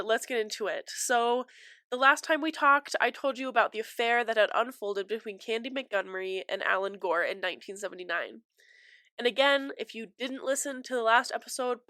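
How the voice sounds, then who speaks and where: A woman's voice: fairly high pitch, bright, forceful tone, no echo, clean studio sound. Stuffy-nosed woman hosting true crime. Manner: apologetic, chatty with fillers. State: stuffy from a cold. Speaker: podcast host.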